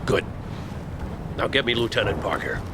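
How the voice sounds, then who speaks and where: An actor playing a stern, resolute army colonel in a military video game, speaking in clipped, authoritative orders. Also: male voice, on the low side, expressive, moderate pace, very noisy, close to an everyday voice. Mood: grave, resolute. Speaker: military commander.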